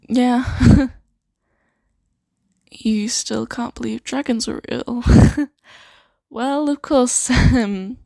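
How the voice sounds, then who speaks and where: A woman playing a friendly, flustered sanctuary worker in an improvised fantasy ASMR roleplay, talking in a hesitant, halting, awkward way. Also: on the high side, vocal fry, a little mellow, no room sound. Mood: awkward, amused. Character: friendly, flustered young female sanctuary worker named Claire.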